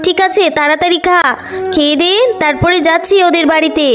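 A woman's voice: very high voice, fairly even intonation, fairly quick. A woman voicing a scolding but caring village mother in a children's cartoon story. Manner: nagging, motherly. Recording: music behind the voice.

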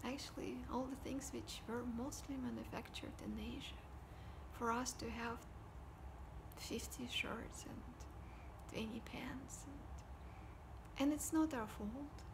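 A woman, talking casually to camera at home in a soft, often whispery voice. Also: on the high side, fairly even intonation, slow, vocal fry, low-quality recording. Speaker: vlogger. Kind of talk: casual personal talk to camera. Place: living room at home, indoors. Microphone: device camera at desk distance.

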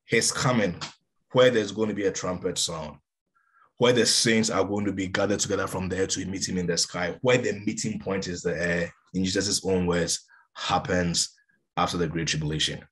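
A man's voice: low voice, fairly even intonation, moderate pace. A man in his forties giving emphatic Bible teaching over a video call from a room at home. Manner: emphatic, gestures while teaching. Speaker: preacher. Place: room with a window, indoors. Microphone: laptop webcam on a video call.

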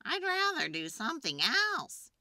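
A woman's voice: high voice, wildly swooping pitch, measured pace, pushed and bright, no room sound, studio quality, pitched above the performer's own voice, exaggerated voice. Woman as a squawking, awkward marabou stork. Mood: embarrassed. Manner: squawking, confessional.

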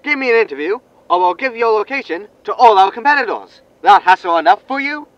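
A man's voice: sits high, expressive, fairly quick, fairly bright tone, dry recording, dull audio. An actor playing a brash, smug male reporter in a sci-fi comedy audio drama, with an insistent, theatrical way of interviewing. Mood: pushy, smug.

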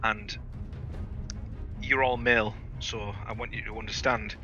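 A man's voice: fairly low pitch, level tone, unhurried, sharp tone, muffled recording, faint music behind. A man telling stories and bantering over gaming voice chat in a sweary, theatrical, bombastic way. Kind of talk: animated casual gaming banter and storytelling.

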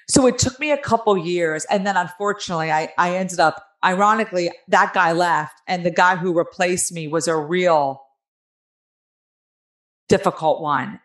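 A woman in her forties with a raspy, nasal New Jersey accent, telling her own story candidly and emphatically as an interview guest on a video call. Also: on the low side, a little slow, fairly bright tone. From New Jersey, United States. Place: small room at home, on a video call. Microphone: headset on a video call.

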